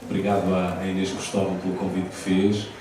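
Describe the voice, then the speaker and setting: Low, flat-voiced male radio host. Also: fast talker. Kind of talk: closing a public talk. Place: café hall, live event. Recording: roomy echo, distant mic, background noise.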